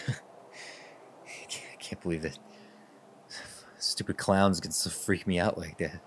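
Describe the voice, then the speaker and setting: A man playing a jittery, anxious young husband in a horror radio drama, speaking haltingly. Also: low voice, fairly even intonation, whispered. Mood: frightened. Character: jittery, nightmare-plagued young husband on honeymoon. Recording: clearly noisy background ambience.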